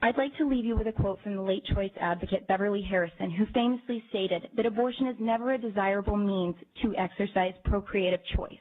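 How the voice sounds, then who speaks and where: A young woman gives formal testimony in a composed, articulate voice of middle pitch. Her words are measured and carefully phrased. The audio is narrow and tinny, like a phone line. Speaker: citizen testifying at a hearing. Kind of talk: prepared public testimony. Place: legislative committee hearing room.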